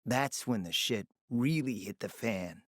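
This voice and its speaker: Sly smooth-talking spymaster for a game, theatrical and urbane, pitch moves around a lot, dry recording. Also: male voice, lively intonation, measured pace, no room sound. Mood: grave, conspiratorial. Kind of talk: video game voice acting.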